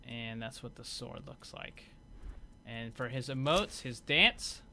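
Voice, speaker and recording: A man's voice: medium pitch, animated, slow pace, bright, forceful tone, a little hiss or hum. A man hosting a gaming video, giving casual, conversational commentary as he explains what is on show.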